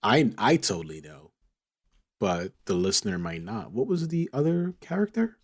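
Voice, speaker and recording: A man co-hosting a podcast, chatting casually in an animated, questioning way. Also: on the low side, normal speed, slightly rough. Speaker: podcast co-host. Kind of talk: casual chat about a TV series.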